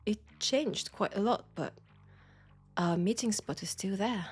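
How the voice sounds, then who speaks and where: A woman with a French accent acting a nostalgic, playful old school friend in an intimate, casual audio roleplay, with an unhurried, teasing manner. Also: mid-range voice, slightly rough, soft background music. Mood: nostalgic, wistful. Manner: unhurried, teasing, breaking into soft laughs.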